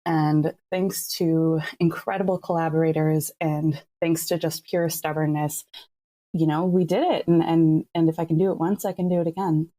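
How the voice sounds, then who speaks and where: Woman, low and soft-edged voice. Earnest, playful, reflective podcast conversation. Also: fairly even intonation, moderate pace. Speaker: podcast co-creator, writer and voice actor. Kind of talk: casual reflective discussion.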